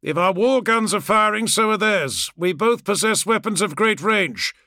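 A man voicing a dazed armoured warrior from a story, loud and urgent in a compelled military declaiming manner. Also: high voice, lively intonation, normal speed, dry recording, studio quality, voice pushed up. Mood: urgent, commanding. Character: dazed armoured warrior, blurting old battle warnings.

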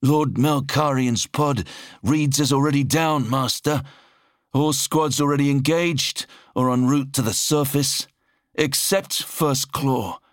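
A man's voice: mid-range voice, slow, no echo, voice pushed up, natural voice. A nervous human officer in a science-fiction audiobook, voiced by a male narrator. He speaks in a raised, dry, close voice, formal and dutiful. Strain shows beneath his controlled military reporting.

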